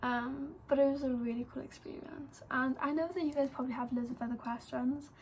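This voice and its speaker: Chatty young Welsh woman, high voice. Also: fairly even intonation, a little fast, a little mellow, slight background noise. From Wales. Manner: chatty, lots of "like" and "um". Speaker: vlogger. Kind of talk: casual storytelling to camera.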